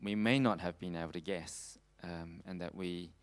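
Male preacher, deep clean tone, hesitant sermon delivery with mid-sentence pauses. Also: steady tone, clear, resonant tone. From Australia. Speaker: preacher giving a talk on world missions. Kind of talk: sermon with slides, closing in prayer.

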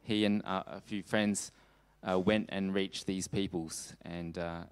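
Low, clear-voiced man preaching. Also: fairly even intonation, a little slow. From Australia. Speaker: preacher giving a talk on world missions. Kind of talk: sermon with slides, closing in prayer.

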